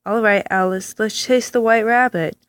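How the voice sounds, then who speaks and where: A woman voicing a creepy, grinning, hooded male Cheshire Cat from a game, read with calm, insistent repetition. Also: a little high, normal speed, smooth, no room sound, lightly acted. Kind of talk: reading game dialogue aloud.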